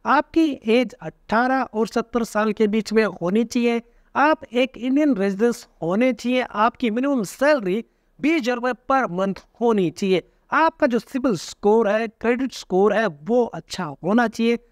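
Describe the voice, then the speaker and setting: A man in his thirties explaining card benefits straight to camera, animated and gesturing constantly, recorded on a lapel mic in a small room. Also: very high voice, a little slow, no room sound, studio quality. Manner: animated, gesturing constantly, addresses viewers as friends. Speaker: personal finance YouTuber. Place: small indoor room with a floor lamp.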